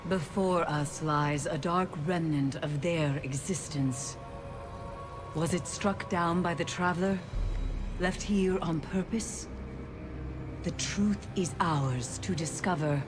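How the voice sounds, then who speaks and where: A woman's voice: low voice, music in the background. An actress playing a grave, haunted woman mystic in a video game, speaking in a solemn, cryptic, theatrical way. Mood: solemn, ominous. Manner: solemn, theatrical, deliberate delivery. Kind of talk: dramatic video game voice acting.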